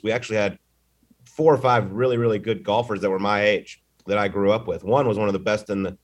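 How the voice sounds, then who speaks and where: A man in his thirties with a Texas accent, telling stories in an easygoing, casual way as an interview guest. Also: on the low side. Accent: Texan. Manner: easygoing storyteller with fillers. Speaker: golf broadcaster, podcast guest.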